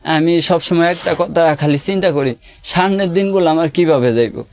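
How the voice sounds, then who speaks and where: A man's voice: on the high side, moderate pace, a little mellow, no echo. A young man, telling his own story in a plain, hesitant way. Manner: plain, hesitant, simple colloquial speech. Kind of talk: personal testimony in an interview.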